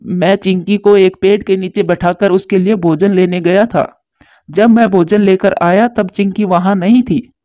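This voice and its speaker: A woman's voice: medium pitch, steady tone, a little slow, dark tone, dry recording, lo-fi sound, close to an everyday voice. A woman voicing a doting, fretful father pigeon in a children's cartoon. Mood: worried.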